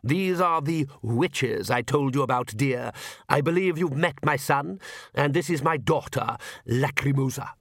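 A man's voice: mid-range voice, a little slow, fairly bright tone, no echo, studio quality. Man voicing a courtly, genteel older vampire count. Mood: eagerly gracious. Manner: courtly, formal. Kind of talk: audiobook character voice.

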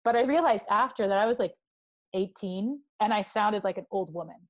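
A young adult woman from Canada with a low speaking voice, chatting loosely as a podcast guest and leaning on filler words. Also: fairly quick. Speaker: guest singer. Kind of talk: casual podcast chat.

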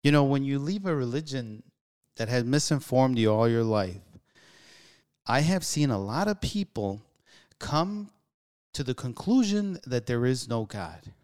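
A man's voice: medium pitch, lively intonation, unhurried, darkish tone, clear, resonant tone. A man hosting a solo talk show, speaking earnestly in a musing, reflective way with frequent restarts. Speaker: podcast host.

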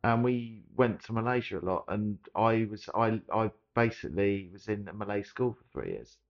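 A man from Surrey hosting a podcast, chatting casually in an animated, teasing way and swearing often. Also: on the low side, normal speed, highs cut off. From Surrey, England. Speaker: podcast host. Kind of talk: casual chat.

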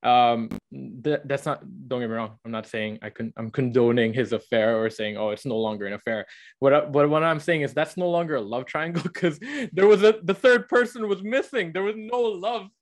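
A man's voice: mid-range voice, fairly even intonation, normal speed. A young man co-hosting a podcast, explaining and arguing his points in a casual book discussion. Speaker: podcast co-host.

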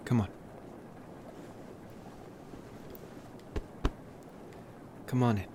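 A man playing a tender, smitten young college vampire in an intimate ASMR roleplay, speaking softly and hesitantly with affectionate pauses. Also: background noise, close to an everyday voice. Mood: gently teasing.

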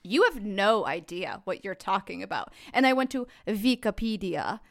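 A woman's voice: medium pitch, sing-song, normal speed. A woman co-hosting a podcast, chatting in a bubbly, sassy way and telling stories. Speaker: podcast co-host. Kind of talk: casual chat.

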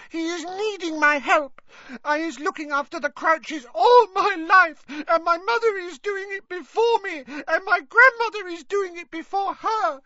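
Storybook female house elf: piercingly high, squeaky, forceful, wailing, indignant and fiercely loyal. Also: no room sound, dull audio, full character voice. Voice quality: squeaky, shrill. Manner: wailing, broken servile grammar.

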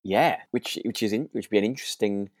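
A man with a British accent co-hosts a casual podcast. His voice is low and recorded without room echo. He rambles informally, feeling his way through sentences. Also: fast talker, studio quality. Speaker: podcast co-host. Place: remote call from home.

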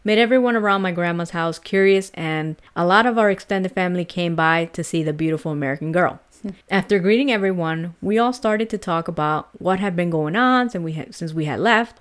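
A woman's voice: on the low side, clean tone. A young adult woman from El Salvador, hosting a casual podcast, chatting and laughing easily as she retells and reads out a ghost story. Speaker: podcast host.

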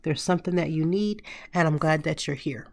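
A woman in her thirties hosting a casual solo podcast, hoarse and raspy from a cold, chatting and telling stories straight to her listeners. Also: deep voice, brisk, no echo. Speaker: podcast host.

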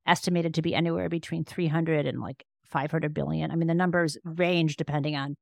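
A woman's voice: sits low, steady tone, a little fast, vocal fry, dry recording. A woman answering as an interview guest in a conversational, explanatory way, restarting phrases as she thinks. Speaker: interview guest, law professor and author.

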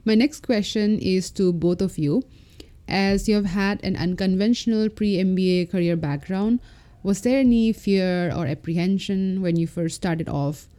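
A woman's voice: mid-range voice, unhurried, dark tone. A woman host asking her guests prepared interview questions in a plain way.

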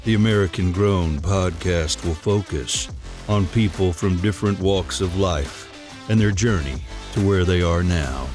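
A man delivers a scripted podcast introduction in the role of announcer. His voice sits low, and music plays prominently behind him. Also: unhurried. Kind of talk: scripted narration.

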